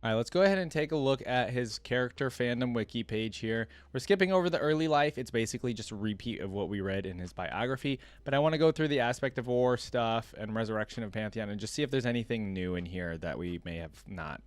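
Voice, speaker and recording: A man's voice: mid-range voice, lively intonation, fast talker, hardly any pauses, no echo. Casual man reacting, dry close sound. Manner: conversational, reads aloud with off-the-cuff asides. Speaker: reaction video host. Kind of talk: casual reaction commentary, reading wiki text aloud.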